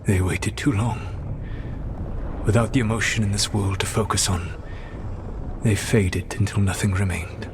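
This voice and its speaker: An ancient elven god from a fantasy game. He is lowish in pitch, solemn and formal, a calculating mentor weighing his words over an audible ambient noise. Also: steady tone, a little slow, creaky, long pauses. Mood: sorrowful. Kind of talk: fantasy game dramatic dialogue.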